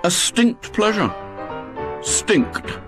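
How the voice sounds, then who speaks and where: Small scheming boy, done by a male reader: falsely innocent, smugly courteous, slightly raised pitch, storybook. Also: very animated, drawn-out words, music in the background, exaggerated voice. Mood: mock polite. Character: mischievous small boy feigning polite innocence.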